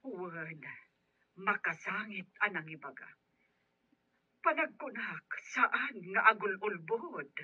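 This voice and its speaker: A woman's voice: medium pitch, lively intonation, slow, long pauses, breathy edge, full character voice. A woman playing a sympathetic, concerned helper on the telephone in a radio drama. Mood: concerned, confiding. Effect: telephone filter. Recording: thin, dull telephone sound.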